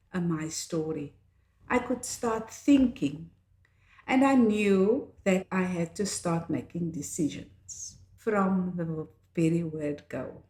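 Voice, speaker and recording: Slow, reflective South African woman. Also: fairly low pitch, a little mellow. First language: Afrikaans. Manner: slow, measured and earnest. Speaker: author and podcast host. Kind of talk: reflective personal monologue. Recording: echoey room.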